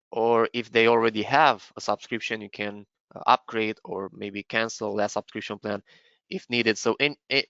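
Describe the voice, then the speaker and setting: A man from Romania, presenting a live software webinar and explaining features step by step with frequent fillers and restarts. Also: medium pitch, clear, resonant tone, no room sound, highs cut off. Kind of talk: live webinar product demo.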